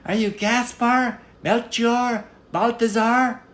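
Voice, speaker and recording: A man's voice: very high voice, fairly even intonation, slow pace, fairly bright tone, slight background noise, pitched above the performer's own voice, exaggerated voice. A man voicing a timid, distressed young girl in a storybook fairy tale. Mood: anxious guessing. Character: young girl, tailor's distressed daughter, later queen. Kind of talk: storybook character voice.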